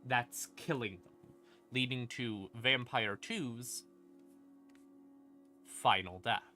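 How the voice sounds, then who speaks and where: A man talks through game lore on a livestream at an unhurried pace. His voice is bright and forward, his manner playful and conversational with dramatic asides. Creepy ambient music plays quietly underneath. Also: mid-range voice. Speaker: streamer explaining game lore. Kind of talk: casual livestream lore explainer.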